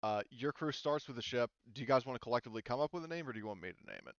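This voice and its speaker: A man's voice: medium pitch, racing, dry recording, lo-fi sound. A man casually talking a group through a tabletop game as game master, explanatory and given to casual swearing.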